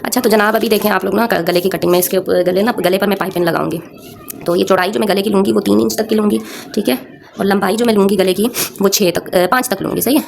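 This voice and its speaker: A woman's voice: medium pitch, fairly even intonation, fast, creaky. Casting: female craft tutorial host, mid-range voice, sore throat, conversational step-by-step delivery, noisy recording with clicks. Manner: conversational, addresses viewers with frequent check-in tags. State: sore throat, frequent throat clearing.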